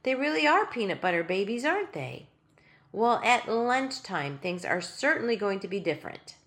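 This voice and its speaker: A prim, bossy little girl with a high, scolding voice that leaps up and down, as a woman puts her on. She sounds proper and matter-of-fact. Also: very animated, normal speed, a bit bright.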